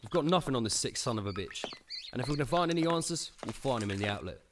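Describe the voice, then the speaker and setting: An actor playing a grim, stubborn undercover police detective in a radio drama, with an English accent and a gruff, dry manner. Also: male voice, mid-range voice, expressive, fast, noisy background, natural voice. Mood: grim, determined.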